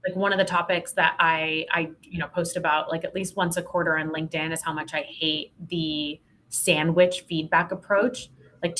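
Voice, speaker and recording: A woman speaking candidly and emphatically as an interview guest, in an expressive conversational way. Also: lowish voice, slight background noise. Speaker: podcast guest, sales leadership expert.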